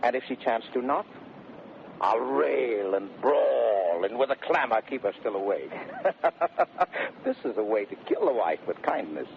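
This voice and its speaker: An actor playing a domineering, blustering Shakespearean husband in 1930s radio style, declaiming theatrically between bellows and smooth mock-sweetness. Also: male voice, mid-range voice, lively intonation, a little slow. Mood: smug scheming. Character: domineering, blustering Shakespearean husband bullying his servants and bride. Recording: noisy, muffled 1930s radio recording.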